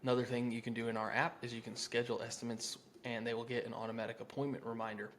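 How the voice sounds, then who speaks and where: A man's voice: mid-range voice, little pitch movement, fast, fairly bright tone, slight background noise. Informal American man coaching on sales. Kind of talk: instructional talk with acted sample phone-script lines.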